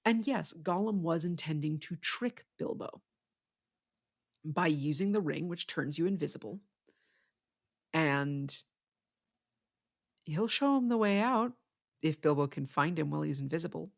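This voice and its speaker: A podcast co-host explaining things in a measured way during a casual literary discussion. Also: mid-range voice, expressive, vocal fry.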